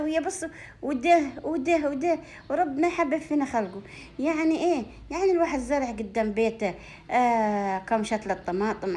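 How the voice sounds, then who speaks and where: A woman's voice: medium pitch, darkish tone. A woman from Upper Egypt with a Sa'idi accent, chatting casually to her viewers as a home vlogger, repeating phrases and offering effusive blessings and endearments. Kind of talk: casual chat to viewers, showing food gifts.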